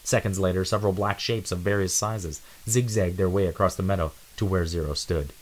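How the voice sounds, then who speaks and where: A man's voice: deep voice, steady tone, brisk, rough recording. A man giving a dramatic narration of an adventure storybook.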